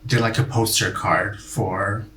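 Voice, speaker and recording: A man's voice: fairly low pitch, steady tone, measured pace, fairly bright tone, far from the mic, slight background noise. A man co-hosting a casual review chat, agreeable and chatty with lots of "like" and "um". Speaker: podcast co-host. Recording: echoey room, away from mic.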